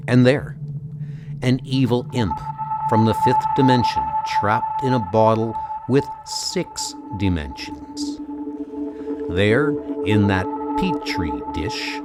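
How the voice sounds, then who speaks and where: Man voicing a calm, grandiose alien tour guide. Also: on the low side, takes it slowly. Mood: serene, stately. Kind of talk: comic book audio dub. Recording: music under the voice. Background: music.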